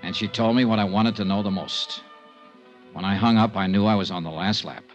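An actor playing a tough, wry insurance investigator in a 1950s American radio drama, clipped and matter-of-fact. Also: male voice, fairly low pitch, a little fast, muffled recording, faint music behind, natural voice. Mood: terse narration. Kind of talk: 1950s radio detective acting. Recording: vintage 1950s radio broadcast.

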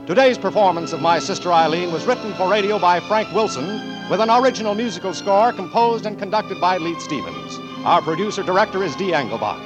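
A man's voice: high-pitched, expressive, a little fast, hardly any pauses, rough recording. An American man making scripted 1940s radio announcements in a formal, emphatic manner. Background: music.

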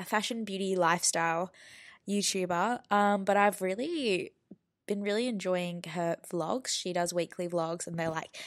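A young woman in her twenties talks through her week as a podcast host, easygoing and rambling with plenty of ums. Her voice sits on the lower side and carries a slight nasal stuffiness from a cold. The sound is dry and close. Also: no room sound. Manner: chatty, rambling, many fillers. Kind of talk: casual chat.

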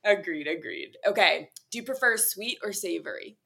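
A woman's voice: medium pitch, expressive, moderate pace, gravelly, bright, forceful tone. Young woman podcast host, chatty and upbeat, laughs a lot, casual interview vibe. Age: young adult.

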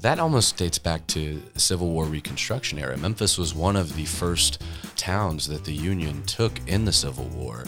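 A man's voice: low voice, fairly bright tone. A man speaking conversationally and earnestly, reflecting and telling stories in a discussion. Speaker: studio staffer and guide.

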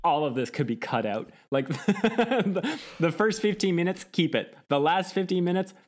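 A man's voice: sits high, moderate pace. Laughing man recapping a film. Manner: laughs often, animated recapping. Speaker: podcast co-host. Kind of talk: casual film review chat.

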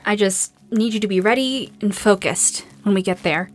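A woman's voice: mid-range voice, moderate pace, lightly acted. A woman playing a tough, determined, commanding survivor in an audio drama.

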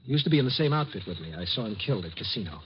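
Anxious fast-talking ex-soldier. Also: male voice, fairly low pitch, sing-song. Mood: subdued, uneasy. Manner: earnest, anxious, clipped sentences. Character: frightened young war-veteran college student, earnest and shaken. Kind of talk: 1950s radio drama acting. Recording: muffled, noisy old radio recording.

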